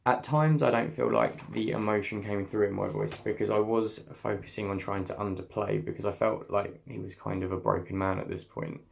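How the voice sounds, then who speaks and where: A man's voice: fairly even intonation, normal speed, creaky, few pauses. A young man, an acting student, reflecting on his voice work in a scene, talking thoughtfully with many restarts. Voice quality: slightly deep voice.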